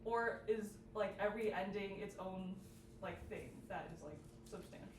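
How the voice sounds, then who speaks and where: A woman's voice: medium pitch, normal speed. Young woman presenting, echoey and distant. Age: young adult. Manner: casual, peppered with "like". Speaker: student club workshop presenter. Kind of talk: informal workshop presentation. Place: indoor workshop room with audience. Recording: echoey room, distant mic, noisy background.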